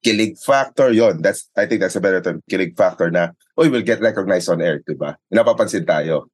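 A man with a low voice and a Filipino accent talks as a sports radio commentator in an interview. He explains things conversationally, slipping between English and Tagalog and punctuating his points with tag questions. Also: expressive, brisk. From Philippines. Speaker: sports radio play-by-play commentator and arena announcer.

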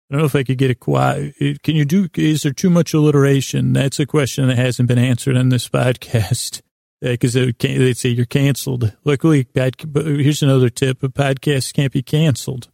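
A man with a creaky voice hosting a sleep podcast, meandering softly through a soothing bedtime monologue, halting, repeating himself and gently goofy. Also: mid-range voice, no echo, studio quality. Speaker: podcast host.

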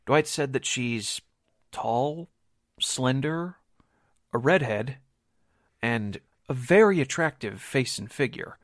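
An actor playing a hesitant, nervous witness in a 1940s-style radio drama, halting and hedging as he confides. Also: medium pitch, a bit bright, no echo, clean studio sound.

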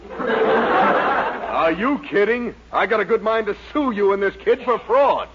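An actor playing a courteous but easily exasperated photographer in a 1940s radio sitcom. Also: male voice, high-pitched, lively intonation, takes it slowly, over a noisy crowd. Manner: courteous, exaggerated disbelief. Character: polite magazine photographer, incredulous and easily exasperated. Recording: muffled old radio with loud hiss.

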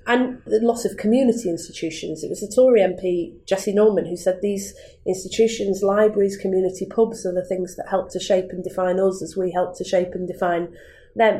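A woman in her thirties makes her case in a mellow, warm tone. She talks earnestly and argues point by point, sounding measured and steady. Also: medium pitch. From Manchester, England. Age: 30s. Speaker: member of parliament. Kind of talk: political interview.

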